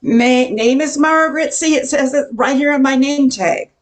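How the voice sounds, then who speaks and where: A woman's voice: high-pitched, normal speed, natural voice. An older woman playing a brassy, short-tempered diner waitress with a blunt, sassy manner in an amateur radio play. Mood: exasperated.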